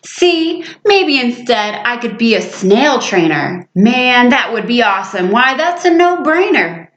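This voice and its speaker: A woman voicing an excitable, boastful schoolboy with big dreams in a storybook, eager and grandiose as if making a speech. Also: fairly high pitch, a little slow, a little hiss or hum. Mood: eager, excited. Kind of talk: storybook read-aloud. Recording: echoey room, slightly muffled sound.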